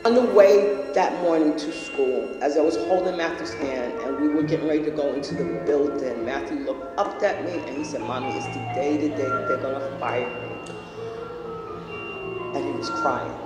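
A woman's voice: low voice, normal speed. A middle-aged woman speaks as a parent telling her own account in an interview. The room rings with heavy echo and long reverb, with music playing behind her. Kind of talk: personal testimony in an interview. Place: indoors, school-like room. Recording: very echoey room, music underneath.

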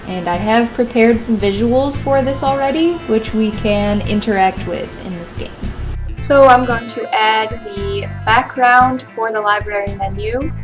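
A young adult woman narrating a software tutorial step by step, in an informal, matter-of-fact way. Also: mid-range voice. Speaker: tutorial creator and channel host. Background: guitar music.

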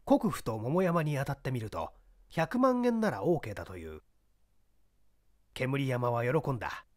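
推理小説を芝居がかった調子で朗読する男性ナレーター。. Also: male voice, medium pitch, very animated, unhurried, dry recording, studio quality. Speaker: audiobook narrator. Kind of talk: dramatic scripted narration of a mystery story.

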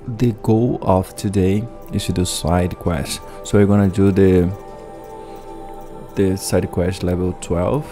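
A man with a very low voice, commenting casually on a video game in halting, chatty English. He restarts his sentences now and then, and loud game music plays beneath his voice-over. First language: Portuguese. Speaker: gameplay host.

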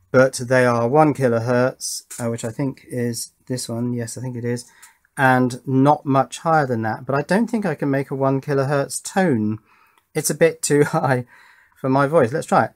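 A middle-aged man talks casually through a hands-on test at a workbench. His voice sits at a medium pitch. He thinks aloud in an informal, self-deprecating manner, as a hobbyist presenter would. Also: moderate pace. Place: indoors at an electronics workbench.

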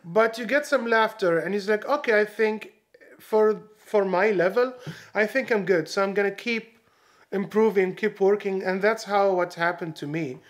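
High-voiced man from Syria reflecting. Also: normal speed. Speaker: stand-up comedian. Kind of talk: documentary interview.

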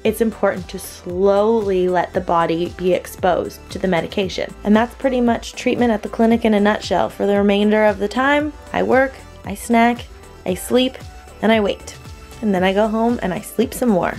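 A woman's voice: medium pitch, fairly even intonation, moderate pace, vocal fry, soft background music. A young woman in her twenties, vlogging casually to a handheld camera, explaining things in a friendly, chatty way. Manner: upbeat and chatty, then quieter and drowsy. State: groggy after treatment. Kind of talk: casual vlog narration. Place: outdoors in a city alley, later a bedroom. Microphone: handheld camera at arm's length.

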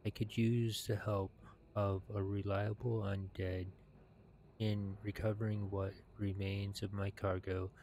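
Low-pitched man reading slowly and haltingly. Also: level tone, mellow, a little hiss or hum. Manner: halting, deliberate read-aloud delivery. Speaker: video game streamer reading quests aloud. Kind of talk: reading quest text aloud with plain commentary.

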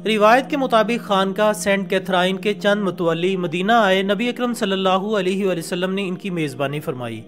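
A man reading scripted documentary narration in a formal, measured storytelling manner. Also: high-pitched. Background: background music.

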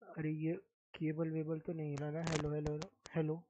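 A young man from India live-streaming a game, speaking softly and hoarsely with a sore throat in casual chat. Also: on the high side, monotone, normal speed, background noise. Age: young adult. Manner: speaks softly, mic-checking.

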